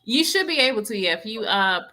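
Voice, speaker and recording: A woman's voice: medium pitch, sing-song, a little fast, bright, forceful tone. A woman guest who runs a nutrition organization, chatting casually in an agreeable, supportive manner. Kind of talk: casual conversational chat.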